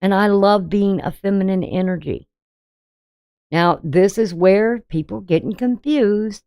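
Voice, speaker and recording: A woman with a deep voice giving a casual daily astrology reading, talking bluntly and conversationally with frequent "you know". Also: measured pace, mellow, smooth, no echo, studio quality. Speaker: astrology channel host.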